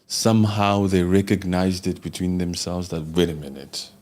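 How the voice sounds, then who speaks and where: A man's voice: deep voice, steady tone, normal speed, a little mellow. A man from Congo hosting a casual live-stream chat, blunt and joking, swearing casually as he talks. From Democratic Republic of Congo. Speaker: podcast host.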